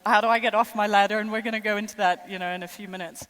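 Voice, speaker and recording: A woman's voice: medium pitch, fairly even intonation, very fast, a bit bright. Woman keynote speaker, thirties, French-tinged international accent, conversational and self-mocking, long hall reverb. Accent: mixed international, French and American traces. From born in the United States, raised in six countries. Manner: chatty and self-deprecating, with light laughs and fillers. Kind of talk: conference keynote speech. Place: large conference hall.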